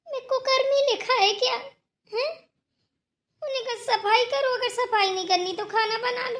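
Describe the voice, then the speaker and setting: An extremely high-pitched young housewife from a family cartoon, acted by a woman. She whines and grumbles about chores in melodramatic, rustic phrasing. She sounds put-upon and sorry for herself. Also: bright, forceful tone, full character voice. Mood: despairing, wailing. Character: whining, work-shy young daughter-in-law in a family cartoon. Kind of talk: cartoon family drama dialogue.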